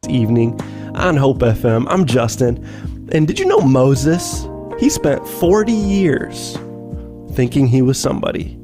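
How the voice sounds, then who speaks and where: A man's voice: mid-range voice, expressive, a little slow. A man presenting on radio in a conversational, reflective scripted monologue style. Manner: reflective, wryly self-deprecating. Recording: music bed behind the voice. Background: light guitar music.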